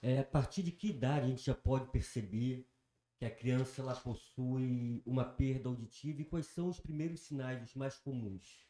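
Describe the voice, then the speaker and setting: A man co-hosting an interview, asking the guest a question in a measured way. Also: medium pitch, mellow. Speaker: co-host. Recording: echoey room, faint noise.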